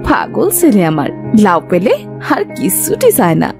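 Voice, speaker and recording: A woman's voice: mid-range voice, huge pitch swings, moderate pace, vocal fry, music in the background. A woman playing a doting, affectionate village mother and housewife in a cartoon story. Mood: fond.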